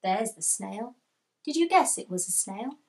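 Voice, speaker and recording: A woman in her thirties reading a picture book aloud to children, expressive and deliberate, as a storytime host. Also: fairly high pitch. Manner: expressive, deliberate, asks listeners questions. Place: small furnished room indoors with bookshelves.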